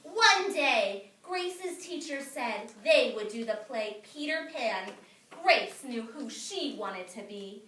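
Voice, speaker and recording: A woman teacher reading a picture book aloud to young children, expressive and emphatic, pausing to instruct the class. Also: a little high. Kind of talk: animated classroom read-aloud. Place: echoey indoor classroom. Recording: echoey room, distant mic, noisy, clicks.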